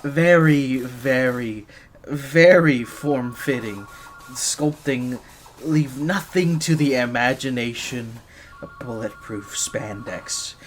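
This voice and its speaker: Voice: mid-range voice, slow, natural voice. A performer voicing a nervous, flustered young gadget engineer in an ASMR roleplay, stammering shyly and breaking off mid-phrase. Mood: flustered, embarrassed.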